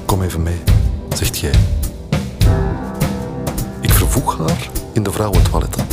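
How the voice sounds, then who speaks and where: A man's voice: low voice, sing-song, measured pace, creaky, heavily acted. A man playing a detached narrator in his thirties, delivering logbook-like first-person narration with a Flemish accent. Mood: bewildered. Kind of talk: scripted first-person narration over music. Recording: loud band music behind the voice. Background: drums and instruments.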